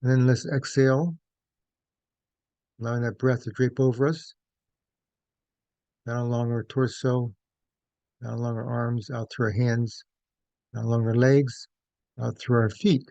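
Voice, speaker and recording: A man with a dark, relaxed voice guides a meditation in measured, gentle phrases. He leaves pauses between short instructions. The sound is dry and close to the room-free, though a little dull and lo-fi. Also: mid-range voice, vocal fry, dry recording.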